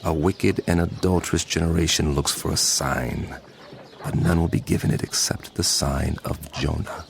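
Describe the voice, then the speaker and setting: A man's voice: very deep voice, fairly even intonation, moderate pace, vocal fry, slight background noise. An actor playing a grave, commanding male teacher in a dramatized scripture recording, speaking with solemn, emphatic delivery. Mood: stern. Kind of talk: dramatized scripture audio acting.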